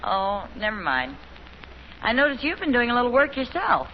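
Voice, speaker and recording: A pleasant, knowing American mother of the 1950s. Her high voice moves up and down expressively, on a muffled old radio recording. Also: big pitch swings, moderate pace, slight background noise. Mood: knowing, affectionate. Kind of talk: 1950s radio sitcom acting.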